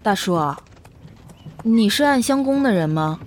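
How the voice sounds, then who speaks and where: A woman's voice: fairly high pitch, expressive, a little slow, darkish tone, a little hiss or hum. A woman playing a young female disciple disguised as a boy, polite, questioning and hesitant, in a Chinese period audio drama. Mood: curious, pensive.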